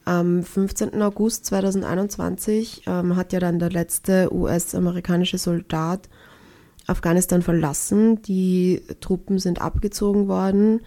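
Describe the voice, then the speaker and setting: A woman radio host reads her introduction and asks her questions in a plain, matter-of-fact way. Her voice is low and warm. It sounds dry and close to the mic. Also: no echo. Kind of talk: scripted introduction, then interview questions.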